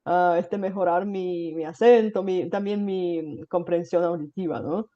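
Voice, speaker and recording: A woman's voice: fairly low pitch, unhurried, dark tone, highs cut off. A Bulgarian woman hosting a casual chat in Spanish with a slight Caribbean accent. She talks with many fillers and tag questions. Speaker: host. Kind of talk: casual conversation.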